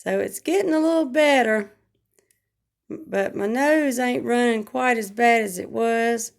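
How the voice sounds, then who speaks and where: A hoarse woman, chatting slowly and plainly about her week at home into a phone camera. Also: fairly high pitch. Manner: slow, homespun, rambling talk with regional grammar. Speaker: vlogger. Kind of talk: casual home vlog chat.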